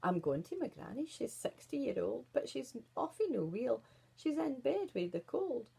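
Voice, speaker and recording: Woman playing a high-voiced innocent Scottish wee girl. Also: sing-song, normal speed, vocal fry, mellow, breathy edge, exaggerated voice. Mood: chatty, innocent. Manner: artless, matter-of-fact.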